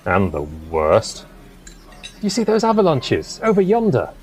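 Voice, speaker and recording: An actor playing a dreamy, melancholy gentleman poet in a period audio drama, with a wistful, literary manner. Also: mid-range voice, wildly swooping pitch, measured pace, faint music behind.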